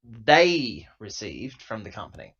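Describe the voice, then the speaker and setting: A man's voice: lowish voice, very animated, measured pace, a bit bright, husky. A man playing a tabletop roleplaying game, talking casually through his turn on a lo-fi recording. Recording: dull, lo-fi voice audio.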